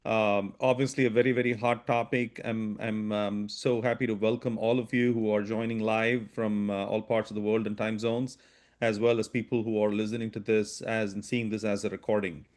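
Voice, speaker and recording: A man presenting a business webinar, talking quickly with frequent restarts and "right?" tags. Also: lowish voice, level tone, darkish tone. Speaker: webinar presenter, product strategy VP.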